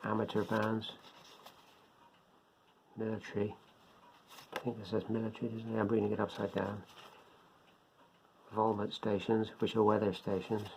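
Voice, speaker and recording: An older man giving a casual, unscripted product demonstration, talking haltingly as he thinks aloud. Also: fairly low pitch, monotone, gravelly, darkish tone. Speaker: presenter of a beginners' radio hobby video. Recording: dull lo-fi sound, faint background noise.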